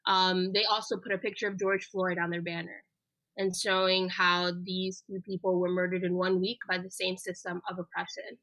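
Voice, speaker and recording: A woman's voice: lowish voice, little pitch movement, moderate pace, a bit bright, no room sound, rough recording. A woman in her twenties, raised in the United States, speaking earnestly as an interview guest, with frequent ums and uhs. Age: 20s. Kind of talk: casual spoken interview.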